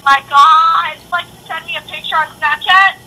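A woman's voice: very high voice, steady tone, unhurried, over music. A young woman chatting casually over a phone call, giving a friend simple cooking advice. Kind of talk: casual chat. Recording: noisy phone call audio.

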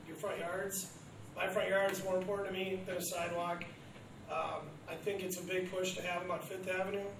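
Blunt, wry high-voiced councilman. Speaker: city council member. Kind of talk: public meeting discussion. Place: council chamber. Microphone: far room camera. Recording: echoey room from far off with background noise.

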